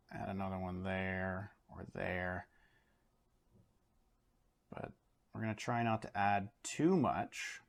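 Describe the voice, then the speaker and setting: Man, lowish voice, art teacher, home studio, casual, filler-heavy demo talk. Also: creaky. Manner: relaxed, talks through steps with many fillers. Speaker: art educator.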